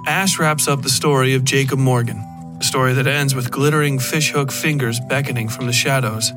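A man reading a scripted horror story as a podcast narrator, in a measured, literary delivery with deliberate pauses. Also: medium pitch, steady tone, music in the background.

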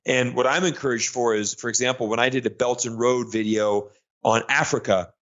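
A man's voice: mid-range voice, steady tone, brisk, a bit bright. An American man being interviewed, talking enthusiastically with frequent restarts and fillers. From United States. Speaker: guest analyst. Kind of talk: conversational interview. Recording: muffled lo-fi audio.

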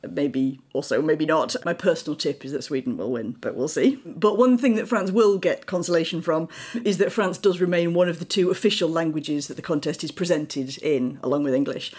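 A woman's voice: deep voice, fairly quick, darkish tone. A woman speaking as an editor on a podcast panel, explaining news in a conversational way.

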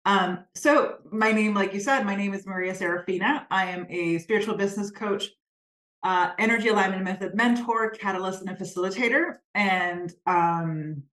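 A woman's voice: medium pitch, moderate pace, a bit bright, reverberant. A woman speaking as a podcast guest, earnest and reflective, often searching for her words. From Denmark. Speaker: guest, spiritual business coach. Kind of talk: conversational interview.

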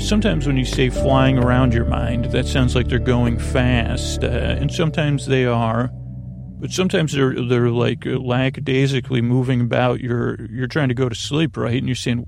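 A man hosting a bedtime podcast in a meandering, halting, whimsical monologue with a gravelly, creaky voice. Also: medium pitch. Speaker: podcast host.

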